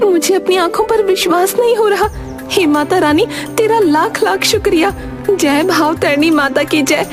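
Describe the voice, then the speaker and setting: High-pitched dramatic village wife. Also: female voice, normal speed, over music. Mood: amazed, grateful. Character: sharp-tongued, dramatic, devout village wife. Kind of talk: Hindi children's cartoon voice acting.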